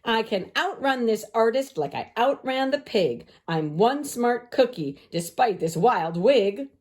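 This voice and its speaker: A woman's voice: on the high side, sing-song, unhurried, pitched above the performer's own voice. A woman voicing a cheeky, boastful little gingerbread girl in singsong storybook rhyme. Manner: taunting, singsong.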